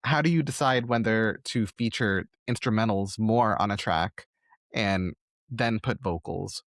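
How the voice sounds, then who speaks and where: A man with a fairly low voice hosts a conversational interview podcast. He speaks earnestly and winds his way into his questions. The sound is close and dry, with little room around it. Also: a little slow, fairly bright tone, dry recording. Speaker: podcast host.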